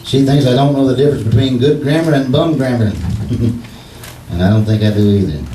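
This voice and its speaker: A man's voice: mid-range voice, moderate pace, warm tone, natural voice. Man as a blustery, folksy husband, echoey stage. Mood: cocky. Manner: slangy, bumbling, mock-pompous. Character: blustery, cocky, folksy husband, bad at grammar. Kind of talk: 1940s radio comedy acting. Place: theater stage before an audience. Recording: echoey live stage, distant mic, noise.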